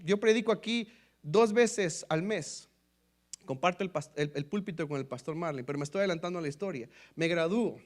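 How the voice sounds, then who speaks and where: High-voiced Mexican man preaching a testimony. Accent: Mexican Spanish. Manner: storytelling, repeatedly addressing listeners as brothers; lively, acts out quoted dialogue. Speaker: pastor. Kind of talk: sermon testimony.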